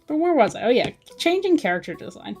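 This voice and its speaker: A woman's voice: a little high, big pitch swings, fast talker, vocal fry, a little mellow. A woman chatting casually as she draws on a livestream, thinking aloud in a musing way. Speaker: artist hosting a drawing livestream. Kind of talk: casual chat while drawing. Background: music.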